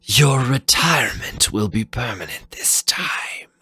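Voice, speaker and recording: A man voicing a fierce, hot-headed armored warrior with a breathy, strained delivery in a dramatic story reading. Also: medium pitch, animated, slow pace, pushed and bright, dry recording, in a whisper, pitched above the performer's own voice, full character voice. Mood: menacing. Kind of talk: dramatic fan fiction reading.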